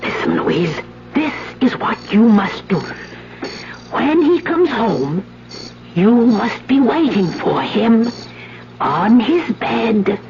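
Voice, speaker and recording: An actress playing a sly, commanding sorceress who instructs in a deliberate, insinuating way in a 1970s radio drama. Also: female voice, fairly high pitch, very animated, heavily acted. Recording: muffled 1970s radio recording, music behind.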